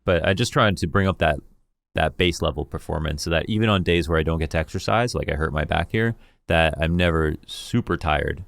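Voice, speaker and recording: A man with a deep voice, an esports commentator candidly reviewing his own work in a rambling, self-critical way. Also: brisk, vocal fry, fairly bright tone, dry recording. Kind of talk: reflective talk reviewing his own casting.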